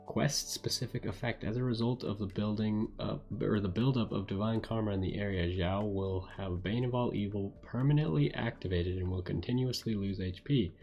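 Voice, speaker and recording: A man with a low voice gives casual commentary while streaming a game. He sounds laid-back, musing aloud as he goes. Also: normal speed, hardly any pauses, highs cut off, faint music behind.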